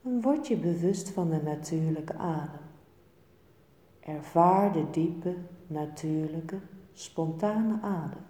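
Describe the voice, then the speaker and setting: A woman leads a guided meditation in a low, soft-edged, mellow voice. She speaks calmly and very unhurriedly, leaving pauses between short phrases. The sound carries a long, echoing reverb. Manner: calm, soothing, measured with short phrases and pauses. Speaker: yoga nidra meditation teacher. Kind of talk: guided relaxation and meditation instruction. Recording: echoey room with long reverb.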